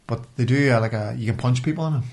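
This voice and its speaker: A man's voice: mid-range voice, quick pace, a little mellow. A man with a Northern Irish accent chatting casually on a podcast, in colloquial language with some swearing. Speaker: podcast host. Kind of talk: casual chat.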